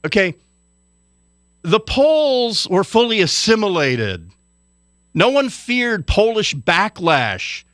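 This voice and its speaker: A man's voice: high-pitched, very animated, slow pace, pauses often, a bit bright, no echo, studio quality. A man from Hawaii delivering an indignant, lecturing radio commentary rant.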